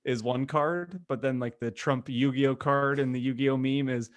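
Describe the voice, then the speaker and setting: A man's voice: medium pitch, fairly even intonation, moderate pace, dry recording. A man co-hosting a podcast, chatting casually and hesitantly as he circles round his point. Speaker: podcast co-host. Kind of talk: casual group chat.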